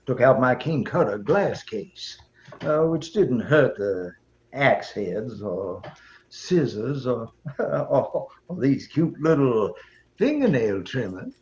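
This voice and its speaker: Slightly dull recording of a folksy shopkeeper in a radio play. He rambles slowly and haltingly, easily tickled, with a highish voice that swings up and down. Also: very animated, darkish tone. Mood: amused, proud. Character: chatty, folksy small-town male shopkeeper, easily tickled. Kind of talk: audio drama acting, storytelling.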